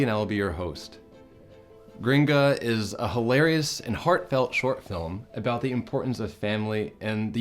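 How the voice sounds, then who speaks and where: A man with a lowish voice hosts a studio interview. He speaks in a measured, welcoming way and asks his guest careful questions. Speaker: host. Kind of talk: interview hosting.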